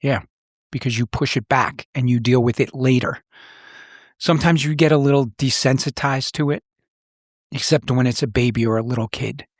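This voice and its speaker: A man's voice: mid-range voice, steady tone, moderate pace, no echo. Plain-spoken middle-aged man, close dry sound. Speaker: podcast host, former police officer. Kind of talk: reflective personal storytelling in conversation.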